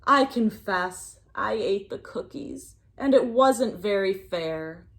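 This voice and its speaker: Female read-aloud narrator for young kids: unhurried, highly animated intonation, sing-song picture-book delivery. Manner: animated, sing-song, pausing to prompt listeners.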